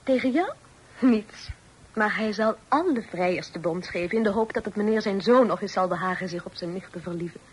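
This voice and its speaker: An actress playing a teasing, playful young sister in a period radio play, speaking in formal, old-fashioned phrases. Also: female voice, mid-range voice, brisk, natural voice. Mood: confiding, gossipy. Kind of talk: Dutch radio play acting. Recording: noisy old radio drama recording.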